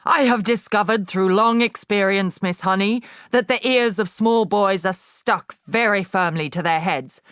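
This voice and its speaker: A woman's voice: measured pace, few pauses, a bit bright, clear, resonant tone, no room sound, studio quality, close to an everyday voice. A woman voicing a towering, bullying headmistress who barks her words with harsh contempt. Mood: bellowing. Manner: barking, booming, contemptuous.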